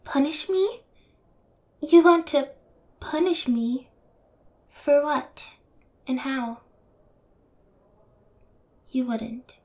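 High-voiced, taunting young yandere girl played by a woman. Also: very slow, pauses often, a little mellow, exaggerated voice. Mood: taunting, daring. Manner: taunting, brazen, teasing, drops to breathy whispers. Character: bold, twisted, spoiled rich yandere girl in prison. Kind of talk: intimate audio roleplay to the listener.

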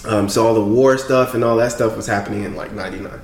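A young man from Congo, a podcast guest, telling his story in casual, animated chat with frequent laughs. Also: on the low side, fast. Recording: echoey room.

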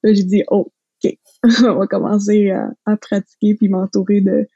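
Young woman, flat gravelly husky voice. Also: medium pitch, normal speed, no room sound. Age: young adult. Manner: frank, laughs easily. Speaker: podcast guest. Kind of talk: casual conversation. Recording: dull lo-fi sound.

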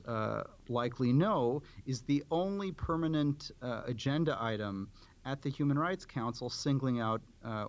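A man giving formal policy commentary as an interview guest, speaking deliberately in short, halting clauses. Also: mid-range voice. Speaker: advocacy organization director, interview guest.

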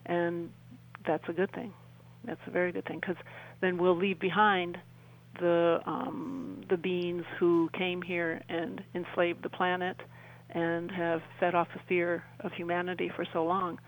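A middle-aged woman giving a conversational personal account as an interview guest, often halting and restarting her phrases. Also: fairly low pitch, flat delivery, low-quality recording.

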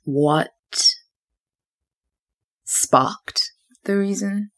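A woman's voice: mid-range voice, drawn-out words, a bit bright. A young woman from Zimbabwe and New Zealand hosting a solo podcast, rambling and thinking aloud with lots of fillers. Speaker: podcast host.

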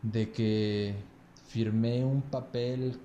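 Mellow Mexican man, casual and crude. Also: medium pitch, very slow, reverberant, a little hiss or hum. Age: 30s. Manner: relaxed, crude slang, ends phrases with "güey". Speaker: podcast guest. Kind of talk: casual storytelling chat.

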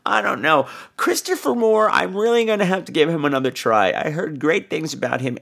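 Male podcast host, sick with flu, theatrical and sarcastic, very lively pitch, some fry in the voice. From New York. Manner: sarcastic, profane, mock-offended. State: ill with flu and laryngitis, on cold medicine. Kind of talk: casual chat, listener feedback segment.

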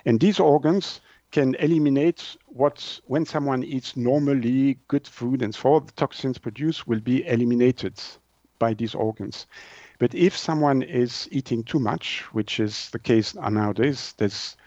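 Halting man, slightly muffled sound. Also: mid-range voice, dark tone, no echo. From Switzerland. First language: French. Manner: halting, hesitant delivery with word searching. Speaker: naturopath, interview guest. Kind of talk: explanatory interview answers.

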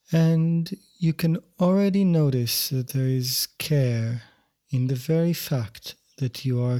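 A man teaching yoga nidra, guiding a meditation in gentle, measured, unhurried phrases. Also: mid-range voice. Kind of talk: guided meditation instruction.

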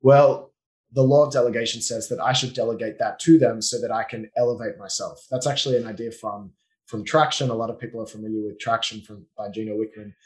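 A man with an Australian accent, a business owner speaking as a guest on a podcast in a relaxed, explanatory way with frequent fillers. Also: fairly low pitch, fast, poor audio. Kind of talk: casual conversational interview.

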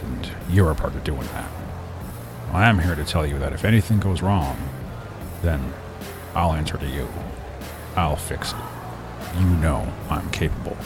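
A man playing a retired former villain looking out for his niece, speaking in a quiet, measured, firm way. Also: extremely low pitch. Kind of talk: audio drama acting.

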